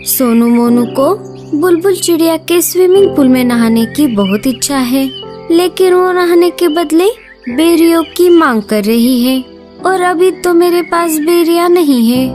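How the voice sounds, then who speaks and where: A woman voicing Lado, a kind and hardworking mother bird in a cartoon, speaking gently and patiently. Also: very high voice, measured pace, soft background music. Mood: gentle.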